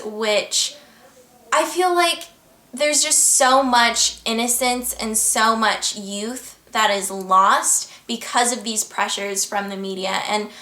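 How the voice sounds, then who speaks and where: A woman's voice: fairly high pitch, bright, forceful tone, reverberant. A young American woman in her twenties, chatting casually to camera as she answers viewer questions, earnest and upbeat, with frequent laughs. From United States. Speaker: vlogger. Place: at home, indoors.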